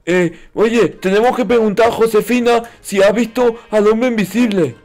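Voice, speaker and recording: A man's voice: high voice, normal speed, a little mellow, soft background music. A man doing playful roleplay commentary over a video game, talking in a childlike, naive, exclamatory way. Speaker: gaming video host.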